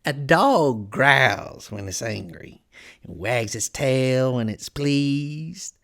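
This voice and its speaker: Slow, swooping, mad Cheshire Cat. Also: mid-range voice, lively intonation, a bit bright. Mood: teasing, mad. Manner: drawn-out words, swooping and teasing. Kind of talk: storybook character voice.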